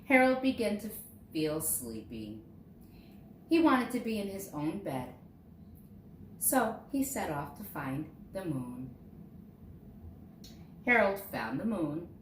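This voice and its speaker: In a roomy, echoing space, a woman a little away from the mic reads a children's storybook aloud. Her pitch moves in lively swings as she stresses key words, and she takes her time over each line. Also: slow, long pauses. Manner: expressive, dramatizing key words for young listeners. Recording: roomy echo, distant mic, faint noise.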